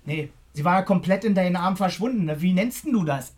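A woman's voice: fairly low pitch, lively intonation, brisk, darkish tone. An actress playing a jealous, confrontational woman in a radio play, blunt and challenging. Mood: jealous, confronting. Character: jealous, confrontational woman demanding straight answers. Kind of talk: naturalistic radio play acting.

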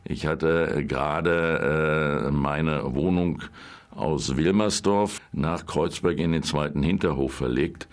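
A man's voice: extremely low pitch, slow pace. A man being interviewed who looks back hesitantly, with many fillers. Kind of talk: reminiscing interview.

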